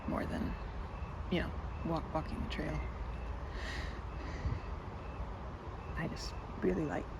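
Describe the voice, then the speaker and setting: Casting: actress as shy, sweet young woman for ASMR roleplay; halting, self-correcting, lively pitch, gravelly fry, noisy ambience behind. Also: exaggerated voice. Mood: shy, content. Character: timid, rambling, sweet young woman at a riverside. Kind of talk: ASMR roleplay, addressing the listener.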